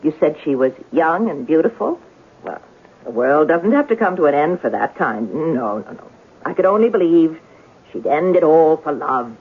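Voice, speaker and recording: Husky, opinionated housekeeper. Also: female voice, low-pitched, lively intonation, normal speed, exaggerated voice. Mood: teasing, certain. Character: nosy, opinionated housekeeper woman, blunt and unbudging. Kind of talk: 1970s radio drama acting. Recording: tinny old radio broadcast.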